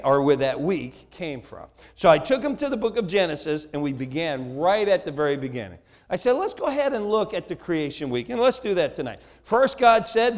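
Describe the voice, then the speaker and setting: Animated high-voiced preacher with a New Jersey accent. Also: male voice. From United States. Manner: animated, acts out dialogue, repeats words. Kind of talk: sermon with storytelling.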